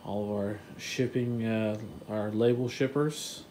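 A man gives a relaxed tour of his print shop, explaining machines with plenty of "uh"s and "um"s in an even, level tone. His voice comes from a handheld phone as he walks through offices and a warehouse. A clear air-conditioning hum runs underneath. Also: fairly low pitch, slow. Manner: relaxed, technical, many fillers. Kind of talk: casual walkthrough tour. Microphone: handheld phone camera, filming while walking.

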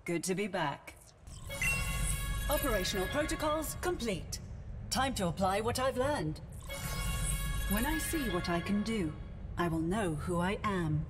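A woman voicing a young female robot in a video game, calm, curious and measured in precise machine-like phrases. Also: medium pitch, music in the background. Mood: calm, ready. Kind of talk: video game character lines.

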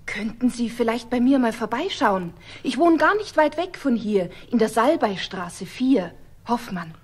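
A woman's voice: fairly high pitch, lively intonation, a little slow, breathy edge. A woman playing an elegant, polite and effusive customer with a genteel manner in a children's radio play. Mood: grateful, effusive. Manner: refined, genteel.

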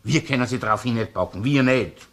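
An actor playing a gruff, shrewd senior police inspector with a Viennese accent in a crime drama, sardonic and probing. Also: male voice, mid-range voice, fairly quick, slight background noise, natural voice. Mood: irritated, sardonic. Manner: sardonic, interrogating, hesitant muttering between questions. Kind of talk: naturalistic crime radio or TV drama acting.

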